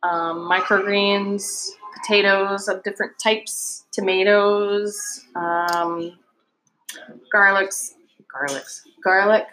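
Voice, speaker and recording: A woman's voice: medium pitch, fairly even intonation, slow, sharp tone, rough recording. Chatty woman farmer from Virginia. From Virginia, USA. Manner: friendly, chatty, many ums and restarts. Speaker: guest, vegetable farmer. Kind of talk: casual interview chat.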